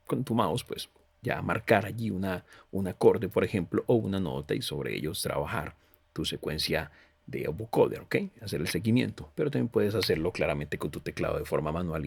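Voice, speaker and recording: Man, low-pitched, husky, informal tutorial presenter, explanatory, talks straight to the viewer. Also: no echo. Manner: explanatory, addresses the viewer directly. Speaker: tutorial presenter reviewing audio software. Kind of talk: informal software walkthrough.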